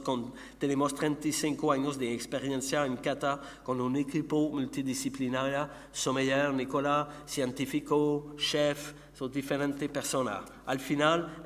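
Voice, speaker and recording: A middle-aged man from Montreal, a native French speaker with a Quebec French accent, gives a conference presentation in a second language, haltingly searching for words. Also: medium pitch. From Montreal, Canada. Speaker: conference speaker, company founder and researcher. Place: conference hall. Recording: echoey hall with long reverb, background hum.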